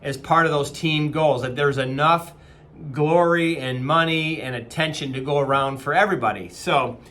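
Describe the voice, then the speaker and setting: Company-leader type, male, forties, bright and slightly high voice, earnest webcam pep talk with lots of fillers. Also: moderate pace. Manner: earnest, conversational, frequent fillers and restarts. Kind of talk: casual motivational talk to camera. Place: small office indoors. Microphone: webcam at desk distance.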